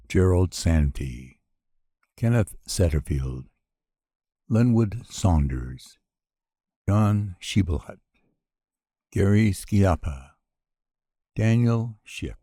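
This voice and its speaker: Guy w a low mellow voice reading out names super slowly, solemn memorial roll call, dry sound. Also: husky, no echo. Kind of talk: formal read-out list of names.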